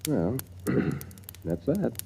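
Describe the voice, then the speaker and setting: An actor playing an earnest professor in a 1950s radio drama, grave and urgent with some hesitation. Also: male voice, medium pitch, big pitch swings, very slow, creaky, warm tone, heavily acted. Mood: weary. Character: earnest male professor standing up to an invader. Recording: faintly noisy vintage radio recording.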